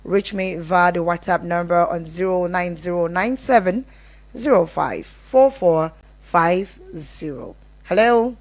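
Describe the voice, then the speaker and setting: A woman's voice: on the low side, a little slow. A woman with a Nigerian accent, hosting a live radio phone-in show.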